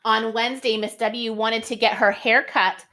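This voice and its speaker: A woman's voice: fairly high pitch, fairly even intonation, normal speed, bright, forceful tone. A woman reading a story aloud to young children like a teacher, expressively, with questions put to her listeners.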